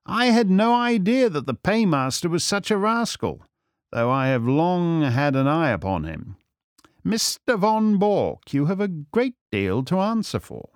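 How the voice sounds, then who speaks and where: A male narrator plays a suave English detective in an audiobook story. His lines are raised in pitch and swoop with lively inflection. He sounds urbane, wry and sure of himself, in a dry recording. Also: dry recording. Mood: stern. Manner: urbane, measured, wry, formal. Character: suave, self-assured English gentleman detective, wryly triumphant. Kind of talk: audiobook character acting.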